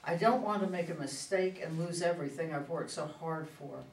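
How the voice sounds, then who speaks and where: A woman's voice: low-pitched, fairly quick, a little mellow. A woman in a staged play reading, playing a guarded but tender widowed politician. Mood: tender, anxious. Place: echoey room at a reader's theater. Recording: roomy, distant mic, faint noise.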